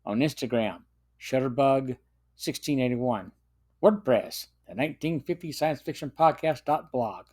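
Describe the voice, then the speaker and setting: A middle-aged or older man hosting a podcast, reading a prepared review in a measured way with occasional small stumbles. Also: mid-range voice, expressive, darkish tone. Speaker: podcast host and film reviewer. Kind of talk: scripted podcast narration and review.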